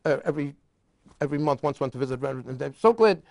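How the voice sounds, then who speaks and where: A man's voice: mid-range voice, lively intonation, racing, vocal fry, darkish tone, no echo, studio quality. A man who chairs a veterans advisory council, speaking earnestly and emphatically as a guest, sometimes stumbling and restarting. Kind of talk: casual interview talk.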